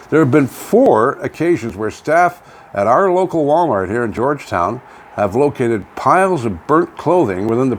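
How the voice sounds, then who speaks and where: An older man with a Canadian accent co-hosting a casual local news chat, sometimes reading items aloud, in a folksy, plain-spoken, rambling way. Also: medium pitch. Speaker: co-host of a local online radio vlog. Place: home studio, indoors. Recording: clearly noisy home studio recording.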